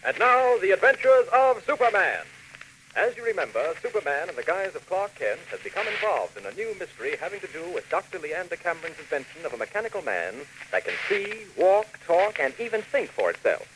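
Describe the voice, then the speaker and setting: A man's voice: sits high, sing-song, fairly quick. A man narrating dramatically as a scripted 1940s radio serial announcer, on a hissy old recording.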